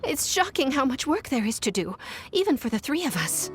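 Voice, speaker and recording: Overworked anime game secretary, fairly high voice, very proper n put-upon, faint music behind. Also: female voice, big pitch swings, a little fast. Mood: dismayed. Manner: formal, precise, put-upon. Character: overworked female private secretary, proper, formal, weary. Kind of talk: anime video game voice acting.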